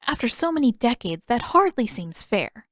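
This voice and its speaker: A kindly, playful elderly woman from a storybook, as a woman narrator acts her. She speaks with gentle curiosity in a slightly raised pitch, with no room echo at all. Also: sing-song, normal speed, studio quality. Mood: nostalgic. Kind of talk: audiobook character dialogue.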